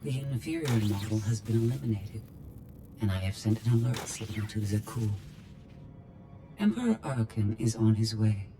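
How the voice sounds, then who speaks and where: A man's voice: fairly low pitch, lively intonation, normal speed, close to an everyday voice. Man playing a cold machine villain over music. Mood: smug menace. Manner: clipped, precise, menacingly polite. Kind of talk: video game villain acting.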